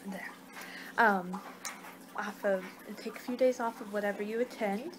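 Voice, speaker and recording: A woman's voice: mid-range voice, steady tone, unhurried. A woman in her twenties doing a rambling, hesitant ASMR roleplay as a friendly vampire, speaking conversationally. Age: 20s. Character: friendly young two-hundred-year-old female vampire hosting a guest. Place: small room at home. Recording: noisy home webcam recording.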